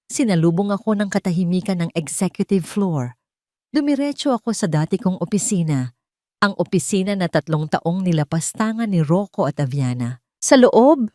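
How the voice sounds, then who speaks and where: A woman narrating a dramatic first-person story in a solemn, deliberate storytelling manner. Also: lowish voice, darkish tone, no echo, studio quality.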